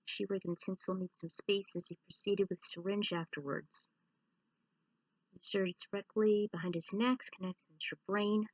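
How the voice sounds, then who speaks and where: A woman's voice: mid-range voice, steady tone, normal speed, no echo, distant mic, rough recording. A woman reading a scripted story as its narrator, stumbling over the odd word. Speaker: narrator reading own fan fiction.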